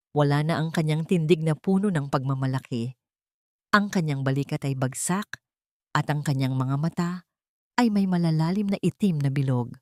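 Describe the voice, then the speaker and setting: A woman's voice: fairly low pitch, darkish tone, no room sound, studio quality. A woman narrating a melodrama audiobook in a dramatic, emotive storytelling manner.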